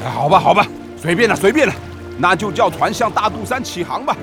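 A radio drama army commander, exasperated and bombastic. His voice sits very high and swings widely as he blusters and sputters. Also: big pitch swings, fast. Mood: resigned, grumbling. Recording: music behind.

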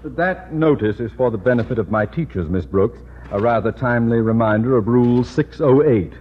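An actor playing a pompous, tyrannical middle-aged high school principal in radio comedy, speaking in ornate, formal phrases. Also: male voice, normal speed, lightly acted. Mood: pompous, stern. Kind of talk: 1950s radio comedy acting. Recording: muffled, noisy old radio recording.

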